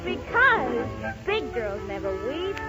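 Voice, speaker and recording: High-voiced bossy prim little boy. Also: sing-song, takes it slowly, heavily acted. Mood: bossy, singsong. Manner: childlike, scolding, singsong. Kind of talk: 1930s radio comedy song sketch. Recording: muffled 1930s radio recording, music behind.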